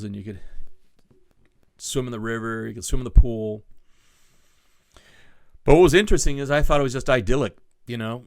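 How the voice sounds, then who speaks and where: A man's voice: lowish voice, vocal fry, no echo, studio quality. A man in his sixties hosting his own podcast, telling casual stories in a rambling, digressive way with many restarts. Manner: rambling, digressive, self-correcting. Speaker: podcast host. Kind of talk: casual storytelling monologue.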